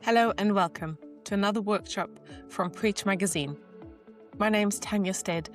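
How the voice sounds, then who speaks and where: A woman hosting as a magazine editor, reading a measured introduction to a workshop speaker. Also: mid-range voice. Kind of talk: scripted introduction. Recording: soft music behind the voice.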